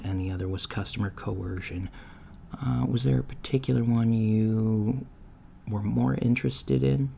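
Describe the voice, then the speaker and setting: A man acts out an apologetic, inexperienced male alien interviewer in an ASMR roleplay. He speaks softly and haltingly in a lowish, gravelly, mellow voice. The lo-fi recording has faint noise and keyboard typing. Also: heavily acted. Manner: hesitant, halting, mumbling asides. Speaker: job interviewer. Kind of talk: soft-spoken ASMR roleplay. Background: computer keyboard typing.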